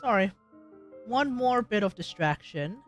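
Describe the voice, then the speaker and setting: A woman's voice: mid-range voice, lively intonation, measured pace, faint music behind. A woman live streaming a game, chatting casually and rambling as she thinks aloud. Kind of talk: casual gameplay livestream chat.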